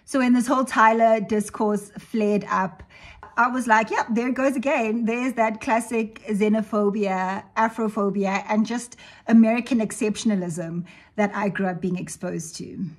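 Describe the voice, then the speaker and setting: A woman in her thirties, raised in South Africa, speaks at a middle pitch in a reflective, conversational commentary. She drops in frequent asides as she talks. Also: fairly even intonation, normal speed. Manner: conversational, reflective, uses asides like "you know" and "right". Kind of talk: personal video essay commentary.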